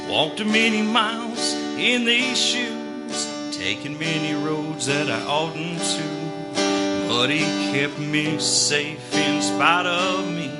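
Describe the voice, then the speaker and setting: Brief male gospel singer, very slow earnest delivery, guitar loud under him. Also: fairly high pitch. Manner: slow, earnest, half-spoken singing. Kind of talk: singing gospel verses over guitar. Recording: singing over loud guitar.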